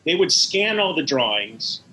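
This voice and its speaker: Man, bright forceful voice, mid pitch, detailed explanatory interview answers, some background noise. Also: big pitch swings, unhurried, poor audio. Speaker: interviewed animator. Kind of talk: in-depth interview recollection.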